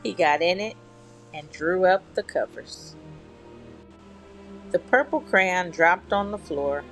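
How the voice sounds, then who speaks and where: A woman reading a children's picture book at bedtime in a measured, expressive storytelling manner. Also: on the low side, faint music behind, low-quality recording. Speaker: storyteller, channel host.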